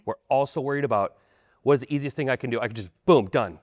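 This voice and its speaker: A man in his thirties, a panel guest, who talks in a rambling, animated and conversational way. His voice is mid-pitched and recorded close and dry. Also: fast, dry recording. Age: 30s.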